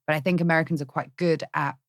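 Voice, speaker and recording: A woman in her thirties, a guest talking candidly and quickly in a casual podcast conversation. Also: deep voice, steady tone, dry recording, studio quality. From Britain. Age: 30s. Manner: candid, rapid, restarts, occasional swearing. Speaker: guest, actress.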